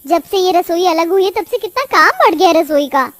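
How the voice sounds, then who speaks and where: Woman voicing a very high-pitched, quarrelsome young daughter-in-law. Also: animated, moderate pace, no echo. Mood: irritated. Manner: scolding, exasperated. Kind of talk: Hindi moral-story cartoon dubbing.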